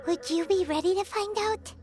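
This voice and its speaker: A woman voicing a tiny childlike fairy companion with a squeaky, pushy, emotional way of talking, in a video game. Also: normal speed, a little mellow, soft background music. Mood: upset, pleading. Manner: childlike, squeaky, refers to herself by name. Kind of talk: scripted video game character acting.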